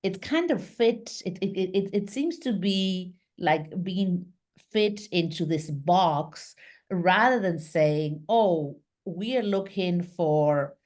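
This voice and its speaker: A middle-aged woman from Argentina speaks as a guest over a webcam call from a small home office. Her voice sits low, and she talks in an animated way, gesturing as she goes. She often restarts her sentences and fills pauses with "um" and "uh.". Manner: lively, gestures with her hands, many fillers and restarts. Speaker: guest, business founder. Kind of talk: casual interview on a video call. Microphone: video call webcam.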